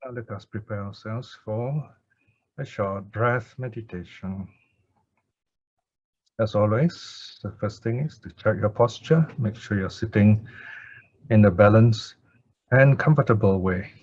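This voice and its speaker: A man speaking as a meditation teacher in measured short phrases with many pauses, guiding or chanting. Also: on the low side, darkish tone, no room sound. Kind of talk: slow guided meditation instruction and Pali chanting. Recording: dull lo-fi audio.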